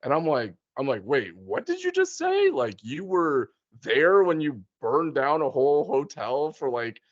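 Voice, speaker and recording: A man's voice: on the high side, sing-song, a little slow, dry recording, dull audio. A man chatting casually as a podcast host, in an informal, slangy way. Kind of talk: casual chat.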